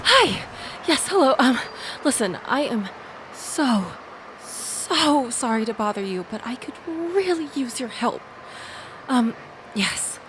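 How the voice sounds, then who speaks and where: A woman playing a shaken, chatty young woman in an intimate audio roleplay, rambling with wry asides and breathy sighs as she confides in the listener. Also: a little high, very animated, takes it slowly, a bit bright, a little hiss or hum, exaggerated voice. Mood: anxious, pleading. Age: young adult. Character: shaken, chatty young woman escaping a bad date, self-deprecating and grateful.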